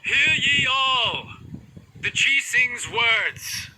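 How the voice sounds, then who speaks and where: A man's voice: very high voice, animated, bright, forceful tone, a little hiss or hum, heavily acted, rough recording. A man voicing a formal crier making a solemn public proclamation. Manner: slow, ceremonious proclamation. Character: formal male crier at a state funeral. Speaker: herald.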